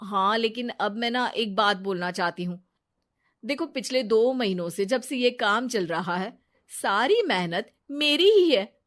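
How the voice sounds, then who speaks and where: A woman's voice: fairly high pitch, animated, unhurried, a bit bright. A woman voicing a scheming, deceitful young female friend in a brash, wheedling story-narration style. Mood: haughty, cold. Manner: brash, wheedling, fake sobbing.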